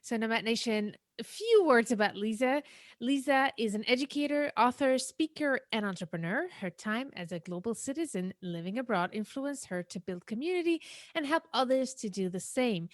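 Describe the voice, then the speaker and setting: A woman's voice: a little high, lively intonation, normal speed, no room sound. A woman hosting a podcast interview as a business coach, speaking in an enthusiastic, effusive way.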